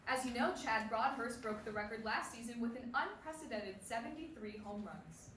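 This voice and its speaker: A woman's voice: a little high, expressive, moderate pace, fairly bright tone. A woman acting a probing, polished sports interviewer in a staged play reading. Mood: probing, formal. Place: stage before a room, far microphone. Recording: echoey distant stage recording with noise.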